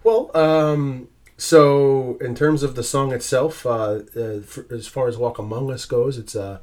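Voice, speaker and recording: A man co-hosting a podcast, talking casually in a hesitant way, with lots of pauses and restarts. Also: mid-range voice, steady tone, a little mellow. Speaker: podcast co-host. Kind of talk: casual chat.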